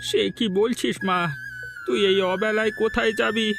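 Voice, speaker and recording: Man acting a creaky, high-voiced old village father. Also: fairly even intonation, normal speed. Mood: pleading. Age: older. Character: kindly, worried old village father and grandfather. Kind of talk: cartoon folk tale voice acting. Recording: music behind the voice.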